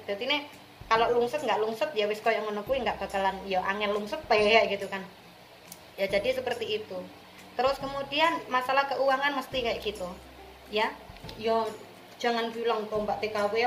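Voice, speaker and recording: A woman from Indonesia with an East Javanese accent, chatting casually and colloquially to her viewers in slangy, direct address. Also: fairly high pitch. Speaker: vlogger, returned migrant worker. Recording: roomy echo with music behind.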